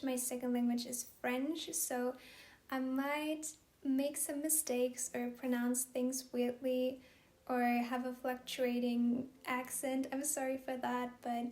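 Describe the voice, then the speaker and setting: A young woman, talking gently and hesitantly in a personal vlog, with many pauses. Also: high-pitched, reverberant. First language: German. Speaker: vlogger. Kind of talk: soft-spoken personal vlog.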